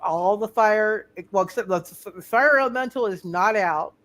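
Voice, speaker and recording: Halting, repetitive game master. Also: mid-range voice, a bit bright. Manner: halting, repeats phrases. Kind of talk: live tabletop roleplaying game refereeing.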